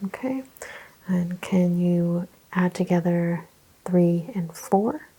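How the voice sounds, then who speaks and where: A woman speaking softly and breathily, often in a whisper, giving gentle, reassuring instructions as a chiropractor in an ASMR roleplay. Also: on the low side, slow, slightly rough, rough recording. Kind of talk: ASMR, soft-spoken clinical roleplay exam.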